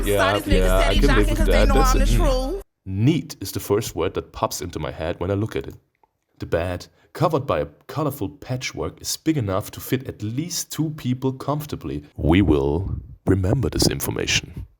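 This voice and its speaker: A man reading a visual novel aloud, expressive and playful, breaking into casual, profane asides. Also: fairly low pitch. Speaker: let's player. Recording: music behind the voice.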